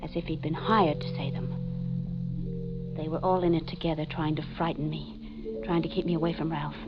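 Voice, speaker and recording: A woman's voice: deep voice, steady tone, moderate pace, natural voice. An actress playing a frightened, desperate woman who narrates her own mystery in dramatic 1940s radio style. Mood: tense, haunted. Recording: muffled 1940s radio recording. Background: dramatic music.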